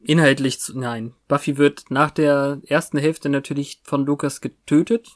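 Podcast co-host, casual TV chat, halting spontaneous delivery, medium pitch. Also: fairly even intonation. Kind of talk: casual chat about a TV series.

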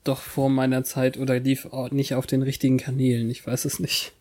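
A man's voice: mid-range voice, completely flat delivery, a little mellow. A man co-hosting a podcast, recapping a TV episode in casual chat with a wry manner and frequent laughs. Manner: wry, drawn-out, laughs often. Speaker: podcast co-host.

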